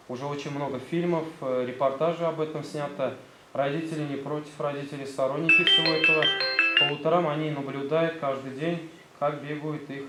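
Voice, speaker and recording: A man with a Tatar accent, a school director presenting his gymnasium to visiting officials, hesitant and full of fillers. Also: medium pitch. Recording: roomy sound, faint background noise.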